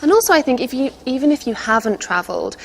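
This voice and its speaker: A woman's voice: high voice, big pitch swings, fast, vocal fry, a little hiss or hum. A woman co-presenting dating advice with casual, candid, conversational commentary.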